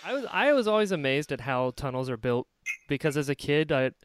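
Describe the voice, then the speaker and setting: A man's voice: a little high, very animated, brisk, faint music behind. An American man from Florida hosting a podcast in an enthusiastic, effusive, casual way. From Florida, United States. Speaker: podcast host.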